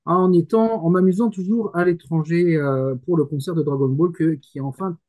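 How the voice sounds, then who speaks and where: A man's voice: a little high, fairly even intonation, moderate pace, dark tone. A man chatting casually as a web show guest, teasing and playfully boastful. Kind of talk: casual chat.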